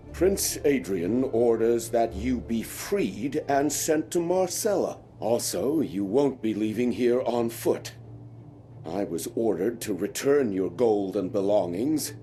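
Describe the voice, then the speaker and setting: An actor playing a pompous, sardonic, grumbling prison warden in a fantasy video game. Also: male voice, mid-range voice, mellow. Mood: sardonic, official.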